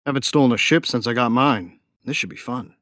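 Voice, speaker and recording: A man's voice: mid-range voice, a little fast, a bit bright, no echo, studio quality, natural voice. A man playing a young bounty hunter in a sci-fi audio drama, telling his story in a wry, laid-back, cocky way. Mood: easygoing in-character. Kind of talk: first-person audio drama narration.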